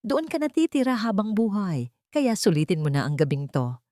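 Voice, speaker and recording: A woman's voice: medium pitch, big pitch swings, normal speed, mellow, no room sound, voice pushed up, natural voice. Lady doing a bossy mean bestie villainess, snappy and domineering, audiobook drama, dry close sound. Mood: menacing. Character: haughty, scheming woman, a treacherous best friend, bossy and menacing.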